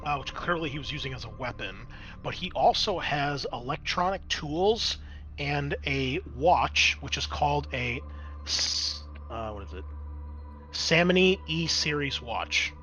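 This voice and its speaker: A man's voice: fairly high pitch, animated, measured pace, bright, forceful tone, faint music behind. A man acting as game master, explaining a tabletop roleplaying scene in a casual, conversational way.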